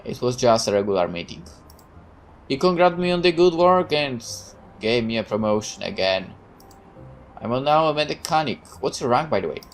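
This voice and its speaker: A woman reading aloud as a naive young male soldier in a visual novel, speaking low and hesitantly. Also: expressive, normal speed, soft background music. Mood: earnest.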